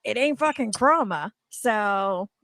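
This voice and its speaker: A woman's voice: mid-range voice, lively intonation, slow pace, fairly bright tone, no room sound, studio quality. A woman co-hosting a livestream in casual chat, blunt and joking, with some swearing. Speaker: livestream co-host.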